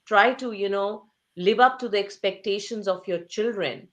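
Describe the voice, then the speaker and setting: A woman's voice: medium pitch, moderate pace, fairly bright tone. A woman author speaking earnestly in an interview, stressing points with repetition and rhetorical questions. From Asia. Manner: repeats phrases for emphasis. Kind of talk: conversational interview.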